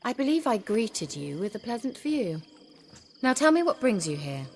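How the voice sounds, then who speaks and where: An actress playing a haughty, sardonic sorceress in a video game, speaking in an arch and condescending way. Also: female voice, a little high, big pitch swings, moderate pace, slight background noise. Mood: arch sarcasm. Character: haughty, sardonic sorceress in hiding as a village witch. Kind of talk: dramatic video game dialogue.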